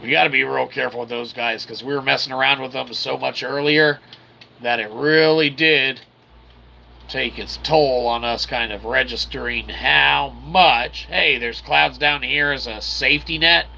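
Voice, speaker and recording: A man with a gravelly, creaky voice gives casual video game commentary, mixing wordy mock-formal phrasing with slang and speaking as "we". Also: mid-range voice, fairly even intonation, normal speed, pushed and bright. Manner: refers to himself as "we". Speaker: gaming channel host. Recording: clearly noisy background.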